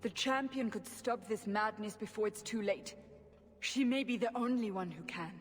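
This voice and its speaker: In a long, echoing hall, a stern warrior of the faith questions with grave formality , played by a woman. She is earnest and insistent, a fantasy game interrogator with a measured dramatic delivery. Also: mid-range voice, lightly acted. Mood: urgent, pleading.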